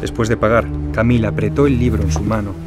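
An actor playing an observant deputy detective, narrating a noir radio drama in the first person. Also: male voice, lowish voice, little pitch movement, quick pace, over loud music, natural voice. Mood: reflective.

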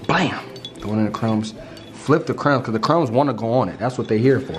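A man's voice: medium pitch, brisk, faint music behind. A young man in a home kitchen, hyping up his cooking to viewers with jokes and sound effects. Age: young adult. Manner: hyped, joking, talks to viewers with sound effects. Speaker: cooking partner. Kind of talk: playful cooking vlog chat. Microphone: camera mic across the kitchen. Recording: echoey kitchen with background noise.

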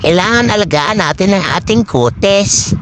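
A woman's voice: expressive, a little slow. A middle-aged woman from the Philippines chatting casually on a walking vlog, repeating short phrases, her voice altered by a voice changer to sound man-like. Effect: voice changer giving a man-like pitch. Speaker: vlogger. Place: outdoors walking along city streets. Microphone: phone at arm's length. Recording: noisy street with passing vehicles.

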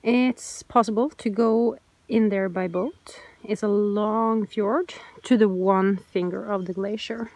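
A woman's voice: medium pitch, slow pace, no echo. A woman in her forties with a Norwegian accent, casually narrating a sailing vlog from outdoors on a boat. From Norway. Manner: relaxed explaining, pointing out sights. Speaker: sailing vlogger. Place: outdoors on a sailboat at sea.

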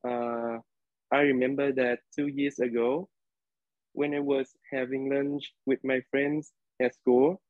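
Give me a slow Vietnamese-accented young man with a flat delivery. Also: mid-range voice, dry recording. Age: young adult. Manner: hesitant, many fillers, nervous. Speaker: college student. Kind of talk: class storytelling speech. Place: living room indoors. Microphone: laptop webcam on video call. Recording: dry, tinny video-call audio.

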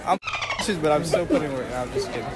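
A man's voice: a little high, animated, very fast. A teenage boy answering questions on campus, joking and dodging. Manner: evasive, joking. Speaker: interviewee. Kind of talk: casual chat. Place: outdoor school campus. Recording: crowd noise behind. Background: students chatting.

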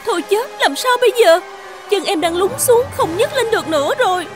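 An actress playing a devoted young runaway daughter in a cartoon folk tale, speaking with pleading emotion. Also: female voice, very high voice, animated, a little fast, soft background music. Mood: frightened.

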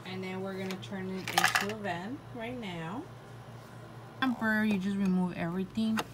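A woman's voice: mid-range voice, moderate pace. A young woman, a mother, chatting casually as she shows off a new toy to viewers at home. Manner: chatty, narrates each toy piece, plays along with her daughter. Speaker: toy review vlogger, mother. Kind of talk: casual toy unboxing chat. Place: bedroom at home. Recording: noisy home phone recording.

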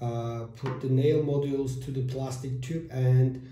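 Man with a dark, mellow voice explaining a build. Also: medium pitch, steady tone. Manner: halting non-native phrasing with fillers. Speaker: DIY electronics channel host. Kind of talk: step-by-step build tutorial. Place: small room with whiteboard, indoors. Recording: echoey room.

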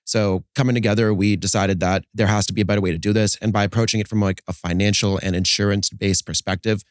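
A man's voice: on the low side, fairly even intonation, fast talker, no echo, clean studio sound. A man in his thirties, a startup co-founder, explaining his business fluently in an interview, often adding "right?", his voice slightly worn from a busy conference. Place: conference podcast studio set, indoors. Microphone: studio mic on boom arm, wearing headphones.

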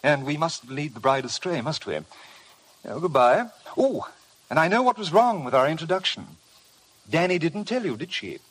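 An actor playing a roguish, smugly insolent gentleman cad in a 1950s radio drama, flippant and breezy. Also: fairly high pitch, big pitch swings, normal speed, breathy edge, rough recording. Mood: breezy, mocking. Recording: vintage 1950 radio broadcast, faint noise.

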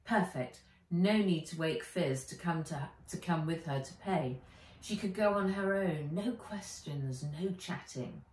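Slow, low-pitched woman reading a story. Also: darkish tone. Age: middle-aged. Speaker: author reading own book. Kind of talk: expressive children's storybook narration. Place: living room or sunroom, indoors. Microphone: camera or phone a few feet away. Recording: roomy, distant home recording.

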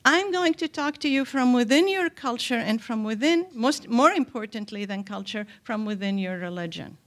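An older woman from Lebanon speaks as a panelist at a podium microphone, lecturing in a deliberate, emphatic way with pauses mid-thought. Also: a little high, lively intonation, fairly bright tone. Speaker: panelist, scholar and activist. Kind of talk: public lecture at a symposium. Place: indoor hall, speaking from a podium. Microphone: microphone on a stand.

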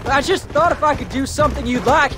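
A man's voice: very high voice, fast talker, noisy background. An actor with an American accent playing a defiant, sulky teenage farm boy in a western video game. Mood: defiant, hurt. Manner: sulky, pleading. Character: defiant, wounded-pride teenage farm boy, cowboy's son. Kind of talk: dramatic video game acting. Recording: game audio with background music.

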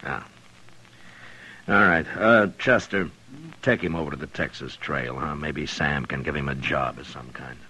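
An actor playing a stern, world-weary frontier marshal with a gruff, authoritative manner in a 1950s radio western. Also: male voice, a bass voice, unhurried, bright, forceful tone, exaggerated voice, poor audio. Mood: easygoing, curious. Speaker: lead actor and narrator. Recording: 1950s radio broadcast with music.

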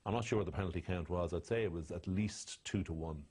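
Male pundit, very low and husky, blunt and indignant. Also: fast talker. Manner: blunt, indignant, drops quiet and low when condemning. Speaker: rugby analyst. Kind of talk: studio match analysis.

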